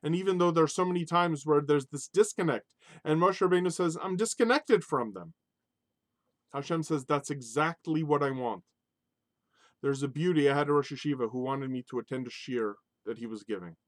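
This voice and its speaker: A man giving a spoken Torah lesson in an animated, emphatic way, mixing Hebrew religious terms into English. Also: on the high side, a little fast, no echo, clean studio sound. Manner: animated, emphatic, rises sharply on key points. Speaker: Torah teacher.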